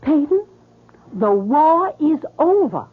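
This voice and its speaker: Pleading young sweetheart, high, very animated, old radio drama. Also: female voice, takes it slowly, full character voice. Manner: earnest, emotional. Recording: tinny old radio broadcast.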